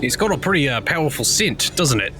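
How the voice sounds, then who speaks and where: Playful male tabletop player with an animated, halting delivery, over music. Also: mid-range voice. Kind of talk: tabletop roleplay, in and out of character.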